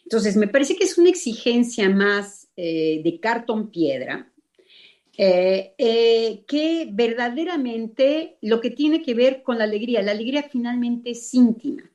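A woman whose first language is not Spanish, speaking slowly and reflectively with many fillers and pauses. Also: a little high, expressive, darkish tone. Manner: hesitant, many fillers, slow thoughtful pauses. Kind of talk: reflective spoken explanation.

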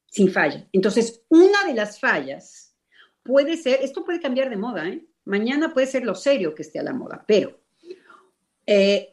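A woman whose first language is not Spanish, speaking slowly and reflectively with many fillers and pauses. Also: a little high, a little mellow. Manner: hesitant, many fillers, slow thoughtful pauses. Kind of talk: reflective spoken explanation.

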